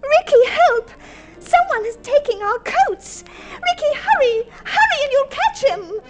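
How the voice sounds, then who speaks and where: Posh aussie society lady in an old radio play, high voice, very animated, polite and plausible. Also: unhurried, faint music behind, exaggerated voice. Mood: alarmed, shrieking. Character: well-to-do society woman, plausible and eager, secretly a thief. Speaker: radio drama actress. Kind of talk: Golden Age radio drama acting. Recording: old radio drama recording.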